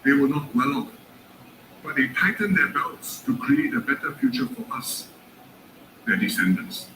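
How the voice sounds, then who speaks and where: A man's voice: mid-range voice, very animated, sharp tone. Man giving a rousing parliamentary speech. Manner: rousing, measured oratory. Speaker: member of parliament. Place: parliament chamber. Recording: roomy chamber with faint noise.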